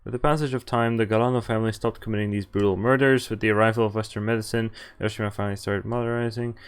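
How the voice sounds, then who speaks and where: Low-voiced man reading a visual novel aloud. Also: fairly even intonation, racing, clean tone. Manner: reads narration evenly, breaks off to swear and react. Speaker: streamer playing a visual novel. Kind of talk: reading game text aloud with live reactions.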